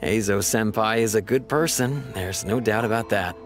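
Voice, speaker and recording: A man's voice: fairly low pitch, normal speed, close to an everyday voice. A man playing a good-natured, chatty police officer in a video game, speaking in a casual, confiding way. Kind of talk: video game character acting. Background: music.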